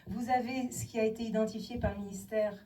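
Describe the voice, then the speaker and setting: A woman's voice: a little high, steady tone, fast talker, dark tone, recorded from a distance. A middle-aged woman giving an emphatic public talk on city design to an audience, asking rhetorical questions. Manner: emphatic, rhetorical questions, acts out quoted voices. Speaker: speaker on inclusive city design. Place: town hall meeting room.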